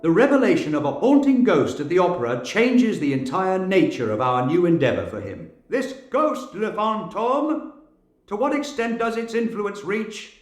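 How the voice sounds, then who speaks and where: A man's voice: high voice, big pitch swings, normal speed, roomy sound. A man playing an earnest new opera manager who recounts events in a formal, theatrical storytelling manner for an audio drama. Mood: intrigued, storytelling. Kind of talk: first-person narration in audio drama. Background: faint guitar music.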